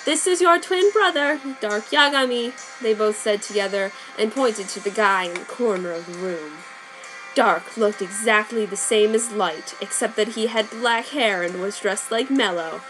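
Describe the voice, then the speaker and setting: A woman narrating a fanfic as a dramatic reading, in a deadpan, mock-serious tone. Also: medium pitch, normal speed, low-quality recording. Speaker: reader. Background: faint background music.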